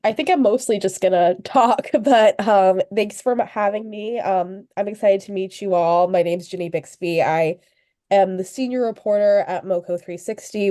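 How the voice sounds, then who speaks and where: A woman's voice: mid-range voice, warm tone, no room sound. Young reporter lady w a cold, kinda sniffly, chatty with lots of ums, dry close sound. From Virginia, USA. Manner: chatty, fillers and restarts, light laughs. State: sniffly, under the weather. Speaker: local news reporter, guest speaker. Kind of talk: informal talk at a club meeting. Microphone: video call.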